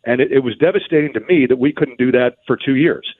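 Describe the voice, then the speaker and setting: A man's voice: mid-range voice, fast. A man giving earnest, detailed interview answers as a baseball broadcaster, often stammering into restarts. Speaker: baseball radio play-by-play broadcaster, guest. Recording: thin phone-like line.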